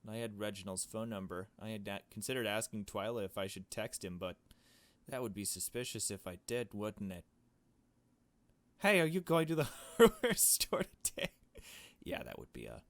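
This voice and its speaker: A man's voice: fairly low pitch, sing-song, moderate pace. A man doing a let's play, reading a visual novel aloud and adding casual, blunt asides. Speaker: gaming video host.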